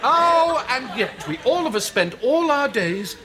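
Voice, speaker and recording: An actor playing a pompous, rambling middle-aged pundit who talks about language in florid, grandiose phrases. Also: male voice, extremely high pitch, big pitch swings, unhurried, crowd noise, heavily acted, poor audio. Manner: florid, grandiose, self-delighted. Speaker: sketch comedy character. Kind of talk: studio sketch comedy.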